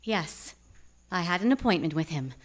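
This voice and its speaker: A woman's voice: on the low side, expressive, normal speed. A woman acting a guarded, haughty young femme fatale in an old-time radio noir play on stage. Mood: defensive, wounded. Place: stage of live radio theatre.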